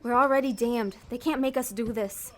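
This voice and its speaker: A woman playing a bossy, cynical schemer with a soft spot in an audio drama, speaking in blunt, terse lines. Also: fairly high pitch, moderate pace, close to an everyday voice. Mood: resolute, weary. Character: bossy damned soul, cynical schemer turned softhearted.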